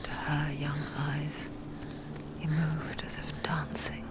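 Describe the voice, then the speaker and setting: A woman playing a haunting inner voice in a video game, narrating in an eerie, intimate, breathy hush. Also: deep voice, completely flat delivery, slow pace, very noisy. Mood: wistful, hushed. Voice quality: breathy whisper. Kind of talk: whispered dramatic game narration.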